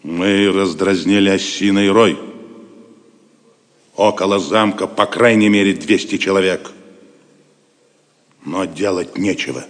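An actor playing a cruel, greedy Norman baron in an audio drama, heavy, deliberate and menacing. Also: sits low, steady tone. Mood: grave. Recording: long stone-hall reverb.

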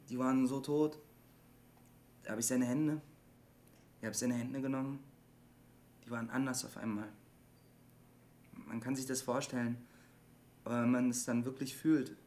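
A withdrawn young man in his twenties, played by a male actor in a naturalistic radio drama. He talks in broken, halting sentences that circle back on themselves. The voice is medium in pitch, with noise behind it. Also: mellow, natural voice. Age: 20s. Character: troubled, withdrawn 25-year-old man, a lonely CB radio hobbyist.

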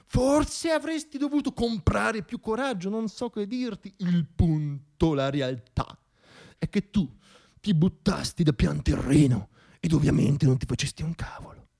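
Man, high voice, theatrical storytelling, satirical monologue, ironic, dramatic delivery. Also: measured pace, vocal fry. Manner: dramatic, ironic, shifting between hushed and declaimed.

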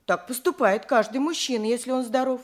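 A woman's voice: a little high, a little fast, lightly acted. An actress playing a chatty, worldly-wise married peasant woman in an audio drama, talking in a matter-of-fact, gossipy way. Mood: matter-of-fact, persuasive. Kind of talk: theatrical audio drama with first-person narration.

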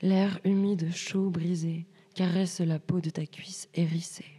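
A young woman reading poetry as spoken word in measured, short separated phrases. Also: lowish voice, little pitch movement, mellow, slightly rough. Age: young adult. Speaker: student writer reading collective texts.

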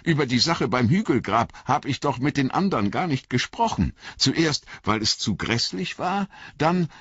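A man's voice: mid-range voice, lively intonation, moderate pace, fairly bright tone, slightly rough, dry recording, highs cut off. A man reading an audiobook voices a young hobbit who asks eager, earnest questions.

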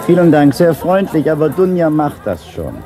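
An actor playing a polite, gentle blind man out walking with his guide dog in a radio drama. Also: fairly high pitch, sing-song, over a noisy crowd. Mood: polite, reassuring. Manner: courteous, slow, counting steps to himself. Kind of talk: German radio drama acting. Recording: very noisy outdoor street scene.

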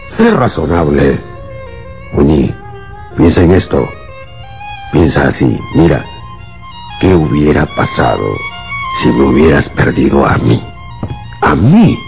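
A deep, volatile, self-justifying husband. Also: male voice, sing-song, takes it slowly, pauses often, darkish tone, soft background music, exaggerated voice. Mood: pleading, coaxing. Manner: pleading, theatrical, insistent. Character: agitated, self-justifying husband, by turns coaxing and bitter. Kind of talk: radio drama acting.

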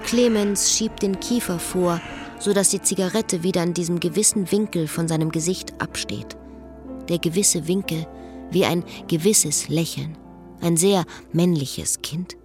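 A woman acting as a reflective schoolteacher, telling her own story in measured, literary radio drama monologue. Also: on the low side, a little mellow, soft background music, lightly acted. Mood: intimate.

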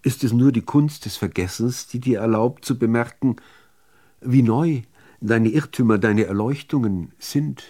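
A man reciting literary poetry, phrasing it in a measured, deliberate way with expressive emphasis. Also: medium pitch. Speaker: reader of poems.

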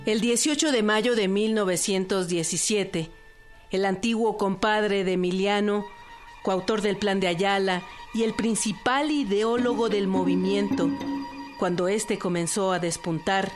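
A woman's voice: mid-range voice, measured pace, faint music behind. A woman narrator delivering scripted documentary narration for a historical radio series.

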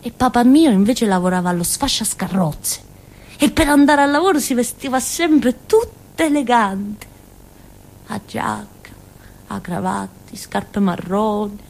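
An actress playing a naive, childlike village simpleton girl with a Calabrian accent, in a halting, repetitive theatre monologue. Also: on the high side, gravelly, a little mellow, low-quality recording. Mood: wistful. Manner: simple, repetitive, halting, with questioning tags and giggles. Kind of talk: theatrical monologue in colloquial dialect-tinged Italian.

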